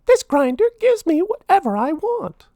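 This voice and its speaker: A performer voicing a sweet, firm little female cartoon mouse in a squeaky falsetto for a storybook. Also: very animated, normal speed, dark tone, dry recording, studio quality, heavily acted. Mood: indignant.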